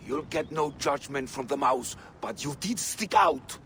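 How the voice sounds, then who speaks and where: An actor playing a sly, chatty, self-pitying street merchant in a video game, ingratiating and theatrical. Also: male voice, mid-range voice, unhurried, lightly acted. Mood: wheedling, salesmanlike. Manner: ingratiating, theatrical, third-person boasting.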